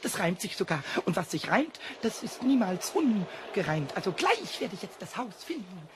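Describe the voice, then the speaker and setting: A performer voicing an excitable, childlike little male kobold in a children's radio play, squeaky and scratchy, stammering and repeating words. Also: normal speed, close to an everyday voice. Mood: defiant. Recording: noisy old cassette transfer.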